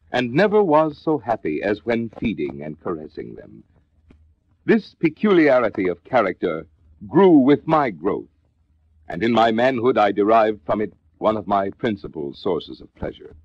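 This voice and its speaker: An actor playing a brooding male narrator confessing a Gothic horror tale, in a theatrical, deliberate 1950s dramatic reading with formal diction, on a vintage record. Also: lowish voice, slightly rough, no echo, full character voice. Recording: dull vintage 1950s spoken-word record.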